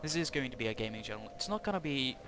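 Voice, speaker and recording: A man from Norway, talking in a halting, self-conscious way as he gives casual commentary over a video game. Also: mid-range voice, expressive. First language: Norwegian. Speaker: gaming channel host. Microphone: cheap headset mic. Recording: cheap headset mic with loud background noise.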